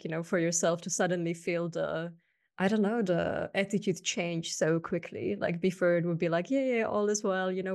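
A woman's voice: on the low side, creaky. A woman from Eastern Europe interviewing casually on a podcast, with informal, rambling phrasing.

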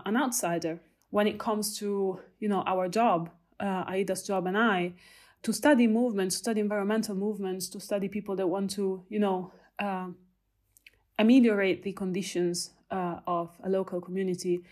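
Italian-accented young woman, academic, thoughtful, halting delivery with restarts. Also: mid-range voice, steady tone, a little mellow. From Italy. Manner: thoughtful, many fillers and restarts. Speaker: researcher. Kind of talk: reflective conversational talk.

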